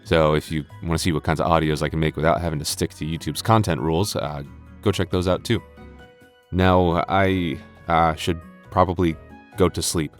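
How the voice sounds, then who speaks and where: Man putting on an obsessive conspiracy investigator, deep voice, excitable, halting, comedic host skit. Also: soft background music, close to an everyday voice. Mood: playful. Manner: excitable, halting, mock-urgent. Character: obsessive, sleepless male conspiracy investigator in comic outro. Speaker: roleplay audio channel host. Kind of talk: comedic outro skit to listeners.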